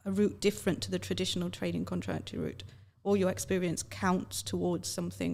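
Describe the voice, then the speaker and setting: Gravelly-voiced woman from Yorkshire. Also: on the low side, mellow. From Yorkshire, England. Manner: articulate, measured explanations. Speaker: radio guest, legal professional. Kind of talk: casual radio interview.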